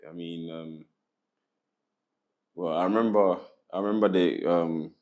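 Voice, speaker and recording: A man in his thirties from Ghana, telling his life story in a relaxed interview with many pauses and fillers. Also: sits low, dull audio. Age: 30s. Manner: hesitant, many fillers, laughs often. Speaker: interview guest.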